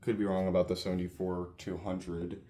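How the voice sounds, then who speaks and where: A man hosting a casual, unscripted hobby demonstration, mumbling haltingly to himself and swearing now and then into a handheld camera. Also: deep voice, flat delivery, heavy background noise. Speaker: hobbyist video host.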